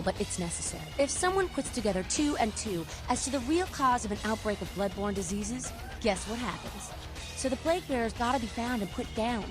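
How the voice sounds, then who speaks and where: Woman doing a hot-headed vampire anarchist girl for a game, highish voice, brash n foul-mouthed, music under it. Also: close to an everyday voice, poor audio. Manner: combative, brash, sardonic. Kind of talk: video game character acting.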